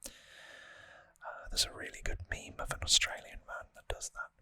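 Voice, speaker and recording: An ASMR host whispering a casual, relaxed, rambling trivia recap very close to the microphone. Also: unhurried.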